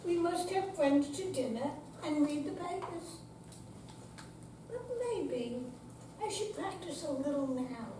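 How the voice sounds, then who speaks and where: An older woman reciting poetry aloud as an amateur reader, deliberate and stumbling slightly at times. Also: high-pitched, darkish tone. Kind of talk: poetry recital before a live audience. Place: senior center room, recorded from afar. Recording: distant, echoey hall with clear background noise.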